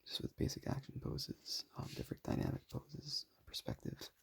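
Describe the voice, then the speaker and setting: A young man with a raspy voice, talking casually and modestly while showing his sketches. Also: medium pitch, wildly swooping pitch, normal speed, a little hiss or hum. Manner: soft-spoken, self-deprecating, rambling.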